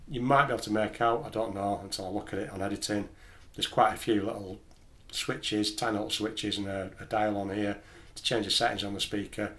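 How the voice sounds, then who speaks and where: A man with a slightly low voice and very little rise and fall in pitch. He talks like the host of a home gear video, explaining things casually and plainly, carefully qualifying what he says. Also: a bit bright. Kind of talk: casual explainer talking to camera.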